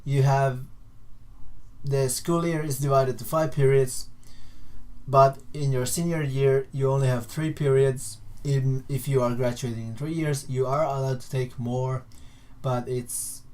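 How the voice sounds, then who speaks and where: Young man, Finnish accent, native Finnish speaker, mellow relaxed timbre, mid-range pitch, casual vlog explainer, halting delivery. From Finland. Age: young adult. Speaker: vlogger. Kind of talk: casual informal explainer to camera.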